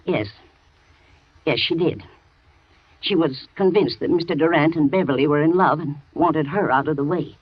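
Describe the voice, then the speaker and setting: An actress playing a loyal housekeeper in a 1940s radio thriller, talking with a nervous, shaky manner on a dull old broadcast. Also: female voice, deep voice, moderate pace, pauses often, breathy edge, dry recording. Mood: shaken. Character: frightened loyal housekeeper woman, middle-aged. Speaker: housekeeper and nurse.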